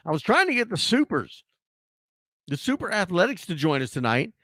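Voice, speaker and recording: A man hosts a sports talk show in a hoarse voice, his pitch swinging up and down as he rants. He restarts his sentences often. The sound is dry and close. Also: fairly quick, no echo. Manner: animated, ranting, with frequent restarts. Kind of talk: casual sports talk commentary.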